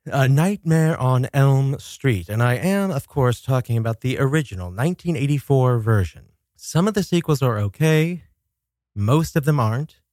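A man's voice: mid-range voice, lively intonation, normal speed, a little mellow, dry recording. A man hosting a casual solo podcast, telling stories dramatically with chatty asides and some swearing. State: coming down with a cold. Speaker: podcast host. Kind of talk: casual solo talk show about horror films.

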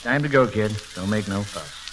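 A man's voice: fairly low pitch, animated, moderate pace, over loud music, natural voice, rough recording. An actor playing a laconic, folksy, fatherly small-town sheriff in an old-time radio drama, on a very hissy recording. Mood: stern, resigned. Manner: terse, folksy.

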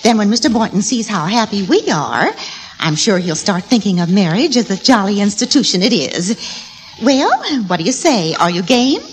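An actress playing a sweet, fussy middle-aged wife and eager hostess in a classic radio sitcom, chatty and fluttery. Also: female voice, medium pitch, lively intonation, moderate pace, natural voice. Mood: fussing, confiding. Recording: noisy old radio broadcast.

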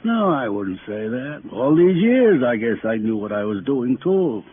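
A tired, guilt-laden father getting on in years in an American radio play. He speaks in plain, brooding phrases at a medium pitch. The old broadcast is thin and clearly noisy. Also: very animated, a little slow, narrow, tinny audio. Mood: bitter, pleading. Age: middle-aged or older. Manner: plain-spoken, ruminating. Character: weary, guilt-ridden aging father confessing to a cover-up. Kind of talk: 1950s American radio drama acting.

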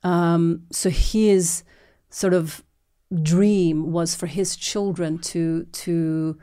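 A woman's voice: lowish voice, fairly even intonation, very slow, warm tone. A woman from Norway, a documentary filmmaker, giving long, earnest interview answers full of repeats and restarts.

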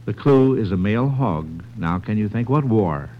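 A man's voice: fairly low pitch, lively intonation, moderate pace, muffled recording. Folksy American man hosting a radio quiz. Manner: encouraging, folksy, repeats and affirms answers. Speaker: quiz show host with a children's panel.

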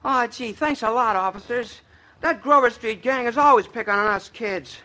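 Grateful young boy, very high pitch, bright, old-time radio comedy. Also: normal speed.

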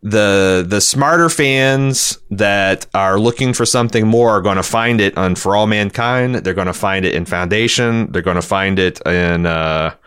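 A man co-hosting a podcast, discussing television casually and bluntly with some swearing, in a halting, rambling way that circles back over words. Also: fairly low pitch, fairly bright tone, clean tone. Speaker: podcast co-host.

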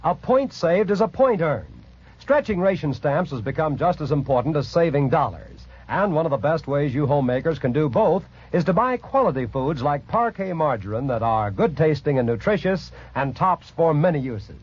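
An American male commercial announcer from 1940s radio, earnest and persuasive. His slightly high, husky voice moves with animated intonation on a muffled old recording. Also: moderate pace. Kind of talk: 1940s scripted advertisement read.

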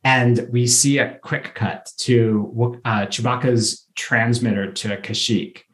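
A man's voice: mid-range voice, steady tone, slow pace, fairly bright tone. Man casually recapping a story. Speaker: podcast co-host recapping a TV episode. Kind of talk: casual chatty plot recap.

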